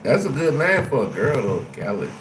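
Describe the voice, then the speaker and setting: A man's voice: medium pitch, big pitch swings, a little fast. A man from Florida hosting a casual livestream, chatting loosely with viewers in slang and repeating his questions. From Jacksonville, Florida. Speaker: livestream host. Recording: echoey room with loud background noise.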